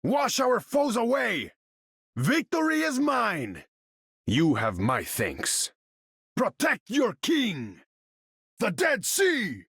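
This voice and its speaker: A haughty, commanding warrior king of the seas for a video game. The delivery is unhurried, pompous and theatrical, with huge melodic swings and a husky grain in the tone. The sound is close and dry. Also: extremely high pitch, wildly swooping pitch, fairly bright tone, no echo, exaggerated voice. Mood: triumphant battle cry, then dry disdain. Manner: regal, pompous, theatrical declamation in formal speech. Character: proud, imperious male sea king warrior, haughty and commanding. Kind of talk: video game character voice lines.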